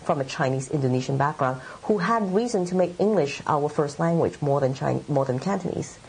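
A woman's voice: low voice, expressive, a little fast, a little mellow, slightly rough, rough recording. A middle-aged woman writer from Hong Kong, answering interview questions in a thoughtful, meandering way with many fillers. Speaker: writer and editor.

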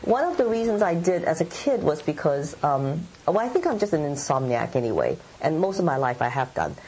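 Middle-aged woman, low voice, husky, thoughtful, rambling interview answers. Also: very animated, fairly quick, darkish tone, poor audio. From Hong Kong. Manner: thoughtful, meandering, many fillers. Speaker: writer and editor.

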